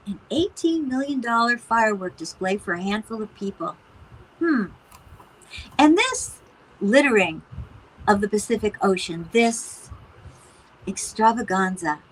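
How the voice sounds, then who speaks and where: Disapproving mother acted by a woman, middle-aged, raspy, higher-pitched, sardonic, pointed theatrical dialogue. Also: fairly bright tone, exaggerated voice, poor audio. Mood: scornful, disapproving. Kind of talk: conversational stage-play dialogue.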